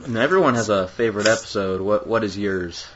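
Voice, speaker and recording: Male co-host, lowish voice, relaxed questions, dull lo-fi sound. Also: fairly quick, a little hiss or hum. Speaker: radio show co-host. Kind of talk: casual interview questions. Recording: lo-fi online radio sound.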